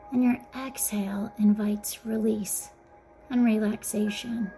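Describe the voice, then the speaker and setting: A woman guiding a mindfulness meditation in a gentle, soothing, softly spoken way, with breathy phrase endings. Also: fairly high pitch. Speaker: meditation guide. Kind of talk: guided mindfulness meditation narration.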